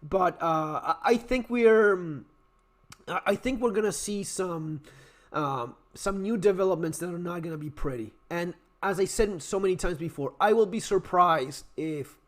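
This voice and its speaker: A man giving a blunt, profane, exasperated rant about a video game while his voice is going hoarse. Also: high-pitched, moderate pace. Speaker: gaming commentary YouTuber. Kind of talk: ranting video commentary.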